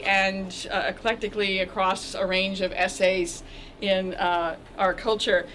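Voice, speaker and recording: An older woman, a history professor on a university panel, speaking in a measured, reflective way with frequent pauses. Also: mid-range voice, fairly bright tone, a little hiss or hum, poor audio. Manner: measured, reflective, many ums. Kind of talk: panel introduction. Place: university lecture room with audience. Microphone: handheld mic.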